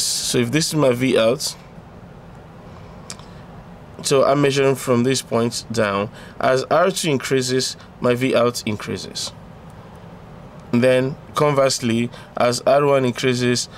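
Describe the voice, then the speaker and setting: A man with a gravelly, mid-pitched voice talks informally through a technical tutorial. He goes step by step, often repeating a phrase to drive it home. Faint noise sits behind the recording. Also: slow pace. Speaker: electronics tutor. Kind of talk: informal explanatory tutorial, talking through a diagram.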